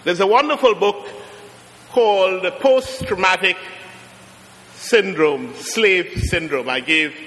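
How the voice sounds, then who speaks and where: A middle-aged man from Trinidad with a Trinidadian accent, preaching a sermon as a guest preacher, slowing down and rising to emphatic peaks. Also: high voice, sharp tone. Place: large church. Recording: long church reverb.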